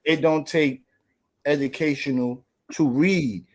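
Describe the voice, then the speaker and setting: New dude co-hosting, rambles and gets animated, slangy, a bit high-pitched. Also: male voice, slow pace. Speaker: podcast co-host. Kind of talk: casual comedic chat.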